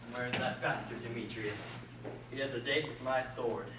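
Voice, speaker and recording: A man's voice: medium pitch, fairly even intonation, normal speed, close to an everyday voice. A man playing an impulsive, lovestruck young lover in a stage play, earnest and melodramatic, recorded from far off in an echoey hall. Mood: infatuated. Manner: earnest, playful, swinging to melodrama. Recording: echoey hall, distant mic, noisy.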